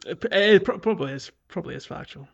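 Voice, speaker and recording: Halting man chatting, slightly muffled audio. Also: high voice, lively intonation. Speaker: podcast co-host. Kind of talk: casual video game discussion.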